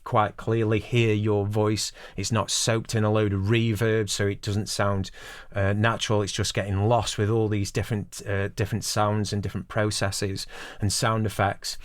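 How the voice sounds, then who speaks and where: Low-pitched man, dry close sound. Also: no room sound. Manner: explanatory, addressing the listener directly. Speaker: voice-over producer giving advice. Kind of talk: instructional talk to camera.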